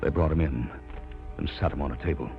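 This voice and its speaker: A young assistant in a vintage radio thriller, uneasy and hesitant. His low voice is grave and measured, on a muffled old recording with loud music behind. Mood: grave, ominous. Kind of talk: 1940s-50s radio drama narration.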